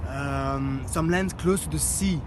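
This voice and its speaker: High-voiced man guiding a street tour. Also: slow pace. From Belgium. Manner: earnest, explanatory, addresses a group. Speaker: tour guide. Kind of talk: guided walking tour talk. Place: outdoors on a city street. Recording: loud outdoor street noise. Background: loud city traffic and street ambience.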